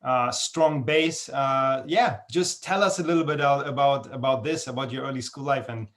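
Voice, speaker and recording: A man's voice: mid-range voice, moderate pace, a bit bright. A man hosting a podcast interview, rambling through his questions with restarts. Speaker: podcast host. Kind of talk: casual interview talk.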